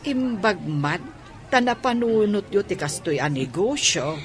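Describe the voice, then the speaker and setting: A woman's voice: mid-range voice, sing-song, measured pace. An actress playing a kindly older mother who gives blessings and encouragement in a family drama. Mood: warm, encouraging.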